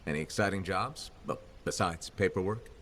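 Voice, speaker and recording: A man's voice: deep voice, normal speed, fairly bright tone, a little hiss or hum. An actor playing a young male freelancer in a video game, talking with dry, sarcastic humor. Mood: wry. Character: wry male freelancer pilot, young adult. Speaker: freelancer, player character.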